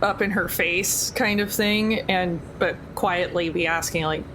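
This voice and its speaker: Female player, lower pitch, chatty, plans aloud, noisy recording. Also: fairly even intonation, a little slow. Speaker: tabletop game player. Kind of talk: casual play talk. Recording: noisy background hiss.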